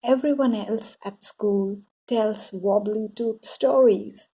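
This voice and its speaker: A woman's voice: mid-range voice, takes it slowly, warm tone, slightly rough, poor audio. A woman voicing an eager, exclaiming young schoolboy in a storybook reading. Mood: grumbling. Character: excitable young schoolboy with a wobbly tooth.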